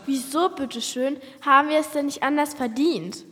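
A female actor plays a bold eleven-year-old with a high voice. The child questions and talks back indignantly, with long reverb around every word. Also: normal speed, a bit bright. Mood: challenging. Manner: challenging, indignant.

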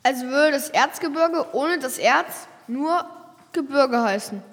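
A woman's voice: high-pitched, animated, unhurried. A woman playing a curious, persistent young questioner in a children's audio drama, with an inquisitive, insistent manner. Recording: voice in a long hall reverb.

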